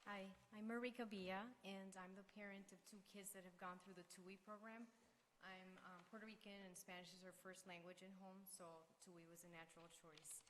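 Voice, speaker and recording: A woman's voice: medium pitch, little pitch movement, normal speed. A woman, a parent, giving an earnest, persuasive prepared statement to a school committee. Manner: earnest, persuasive, rises in emphasis. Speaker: parent speaking at public comment. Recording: reverberant meeting room with faint hiss.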